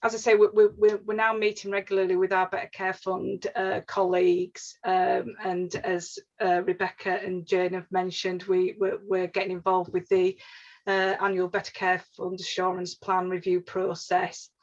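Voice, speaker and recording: A woman's voice: on the low side, monotone, moderate pace. Yorkshire woman presenting over a webinar. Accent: Yorkshire English. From northern England. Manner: repeats words, many fillers. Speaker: regional advisor presenting a webinar. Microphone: video call. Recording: slightly dull lo-fi webinar audio.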